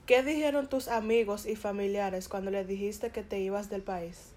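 A woman interviewer asking short, soft-spoken questions for an oral history. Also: mid-range voice, steady tone, moderate pace. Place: Bronx, New York.

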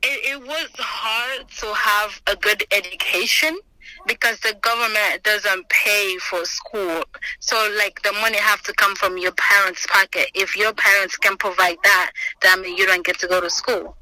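A young woman, her voice very bright and tense. She speaks earnestly and thoughtfully, often pausing on "um" and restarting. The sound is dry and close over a video call. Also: mid-range voice, fairly even intonation, dry recording, poor audio. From Senegal. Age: 20s. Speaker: interviewee, student. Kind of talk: oral history interview. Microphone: remote video call.

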